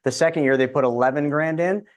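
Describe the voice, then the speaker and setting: A man speaking as a guest in a casual interview, explaining in a confident, quick way with restarts. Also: a little high. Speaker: insurance advisor guest.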